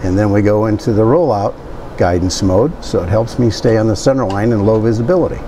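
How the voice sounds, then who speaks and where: A man's voice: on the low side, a little fast, warm tone, slight background noise. A man in his fifties talking through a technical demonstration as an instructing pilot, in a conversational way.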